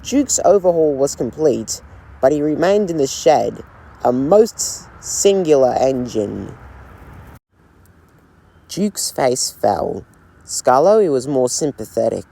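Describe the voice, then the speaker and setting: A man narrating a railway storybook as a scripted read, in a slow, gentle storytelling manner. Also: medium pitch, sing-song, gravelly.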